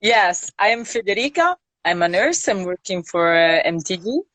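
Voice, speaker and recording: A woman's voice: medium pitch, very animated, normal speed, fairly bright tone, dry recording. A woman from Italy speaking English, a nurse talking thoughtfully in a casual interview with many fillers. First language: Italian.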